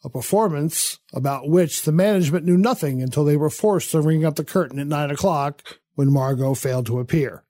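A man acting a suave, suspicious theatre critic narrating a radio play. His voice is a little high and mellow, dry and close, with arch, pompous precision. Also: fairly quick, dry recording, natural voice. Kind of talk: radio drama narration in character.

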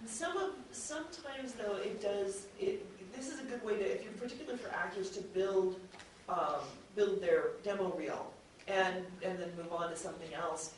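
A woman's voice: mid-range voice, moderate pace. A woman speaking informally as an audio drama producer on a panel, chatty and rambling, often trailing off. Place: convention panel room, indoors. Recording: echoey room, distant mic, faint noise.

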